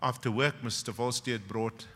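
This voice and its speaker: Bright-voiced South African professor in a reverberant hall. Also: male voice, medium pitch, fairly even intonation, moderate pace. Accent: South African English. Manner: dramatic storyteller, swings from loud punchlines to hushed emotion, wry humor. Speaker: university professor speaking at a graduation. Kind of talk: ceremonial speech with personal stories. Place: large graduation hall. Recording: long hall reverb from a ceremony PA.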